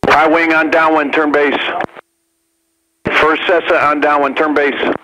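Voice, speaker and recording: A man's voice: fairly high pitch, level tone, measured pace, bright, forceful tone, rough recording. A man working as an air traffic controller, giving repeated directions with polite pleases over aviation radio. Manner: directive, repetitive, says please. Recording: aviation radio with slight noise.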